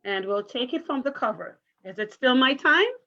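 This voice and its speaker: A woman's voice: high-pitched, expressive, normal speed. A woman, a primary school teacher reading to children in an encouraging, careful, interactive way over a video call. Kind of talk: interactive children's read-aloud. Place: online virtual story time call. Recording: muffled video call audio.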